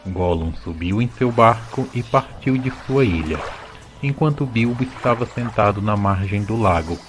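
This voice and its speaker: Male narrator, Brazilian accent: fairly low voice, dramatic storybook phrasing, light music bed.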